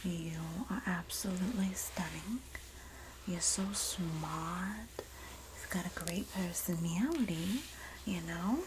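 A woman playing a sassy, supportive Jersey girl in an ASMR roleplay, speaking softly and often whispering in a breathy, doting, gossipy way with a New Jersey accent. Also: lowish voice, background noise. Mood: soothing. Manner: slow, gossipy, doting, dramatic emphasis.

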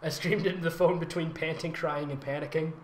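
A man's voice: on the high side, steady tone, brisk. A man hosting a comedic read-aloud of fan fiction, speaking in a theatrical, giggly, sarcastic manner with mocking commentary. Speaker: host, fan fiction reader and voice actor. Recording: roomy echo with faint noise.

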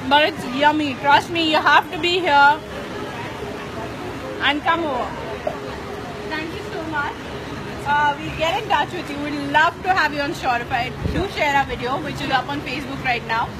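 A woman's voice: high voice, fairly even intonation, moderate pace, pauses often. A woman in her thirties with an Indian English accent, enthusiastically hosting live coverage at an outdoor food festival with a handheld mic. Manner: enthusiastic, chatty, addresses viewers. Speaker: host. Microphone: handheld mic, phone camera close. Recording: festival music and crowd noise.

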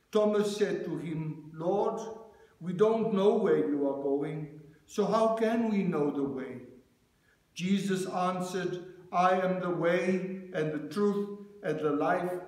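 A man leading a church service as minister, reading scripture and preaching in a measured cadence at a lectern. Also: sits high, darkish tone. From South Africa. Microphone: lectern microphone, far camera. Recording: very echoey church room.

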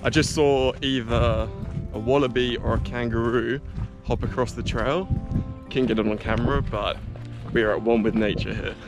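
A man's voice: medium pitch, steady tone, normal speed. Australian guy in his thirties, upbeat outdoor vlogger, arm's-length camera, music behind him. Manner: chatty and upbeat, joking asides. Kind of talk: casual hiking vlog. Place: outdoors on a bush trail and beach. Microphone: action camera held at arm's length.

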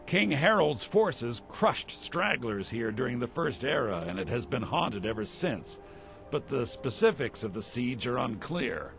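A man's voice: medium pitch, sing-song, unhurried, vocal fry, fairly bright tone, faint music behind, heavily acted. An actor playing an earnest, commanding Imperial army captain in a fantasy game, speaking formally as he explains his mission. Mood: grave, explaining. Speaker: game character. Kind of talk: scripted fantasy game dialogue.

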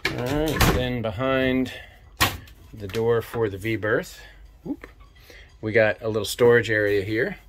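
A man in his fifties hosting a casual walkthrough tour of a sailboat, talking in a relaxed, enthusiastic way with lots of fillers, recorded on a handheld camera inside a small cabin. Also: mid-range voice, unhurried, long pauses, slight background noise. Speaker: boat tour host. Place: small boat cabin, indoors. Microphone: handheld camera mic at arm's length.

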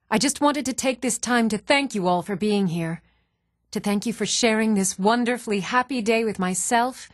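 Actress as high-voiced, earnest fantasy game townswoman. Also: no room sound, studio quality, close to an everyday voice. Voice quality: clear, even-toned. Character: even-toned young Nord townswoman, earnest and polite. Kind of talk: video game character voice lines.

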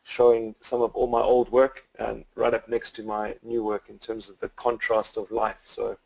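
Gravelly South African man, flat delivery. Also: lowish voice, normal speed, low-quality recording. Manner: hesitant, many fillers and restarts. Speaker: guest photographer. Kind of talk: webinar presentation. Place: home office.

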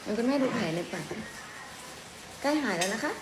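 A woman in a mother's role, telling simple stories to a small child in a casual, coaxing way through gentle questions. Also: fairly high pitch, animated, brisk. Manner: coaxing, question-led, with polite particles. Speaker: mother prompting her young child. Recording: echoey room with clear background noise.

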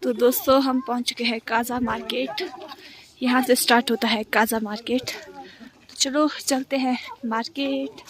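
A woman's voice: on the high side, steady tone, a little slow. A young woman from India vlogging on a handheld camera, talking casually to her viewers as friends. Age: young adult. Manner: chatty, addresses viewers as friends. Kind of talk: casual travel vlog narration. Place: outdoors, cafes, shops and a bus.

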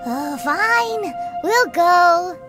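Squeaky little fairy girl, super high and bouncy, sassy game sidekick, music under it. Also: expressive, very slow, full character voice. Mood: curious. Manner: blunt, teasing asides. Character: tiny floating female fairy companion, chatty and sassy. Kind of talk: video game voice acting.

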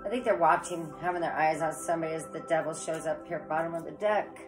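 A woman's voice: low voice, fairly even intonation, quick pace, music in the background. A woman in her forties with a head cold, chatting casually to camera as she reads tarot cards, rambling and calling her viewers "guys". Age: 40s. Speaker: tarot reader. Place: living room with electric fireplace, indoors.

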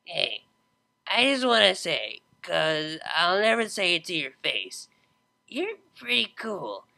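A woman voicing a drunk, laid-back young female unicorn DJ who drawls slow, slurred slang. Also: fairly low pitch, sing-song, creaky, sharp tone, exaggerated voice.

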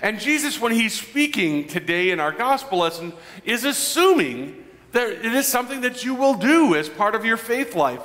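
A man in his forties preaching a conversational sermon as a pastor, animated and joking with his congregation. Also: very high-pitched, moderate pace. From Midwestern United States. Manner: animated, gestures broadly, jokes with the congregation. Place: large church sanctuary. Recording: long church reverb.

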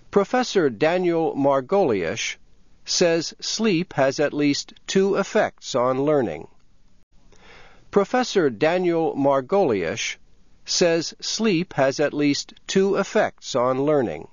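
A man reading scripted narration for English learners with careful, measured enunciation. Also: medium pitch, no room sound. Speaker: reader of English learning material. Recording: dull, lo-fi compressed audio.

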